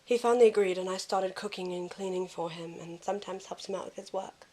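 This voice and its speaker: A woman's voice: lowish voice, fast talker, darkish tone, close to an everyday voice. A woman playing an emotional, wounded young woman in an audio drama, earnest and dramatic in delivery. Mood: subdued, earnest.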